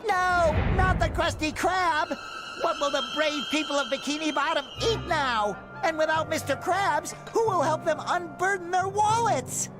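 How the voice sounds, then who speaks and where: A man voicing an earnest, excitable young sea sponge in a cartoon game, squeaky and nasal, with eager, naive delivery. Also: unhurried, over music. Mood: distressed, dramatic. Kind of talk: cartoon video game acting.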